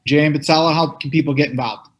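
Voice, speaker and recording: A man moderating a panel on a video call, with a voice a bit higher than most men's. He speaks haltingly, with lots of fillers. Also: fairly even intonation.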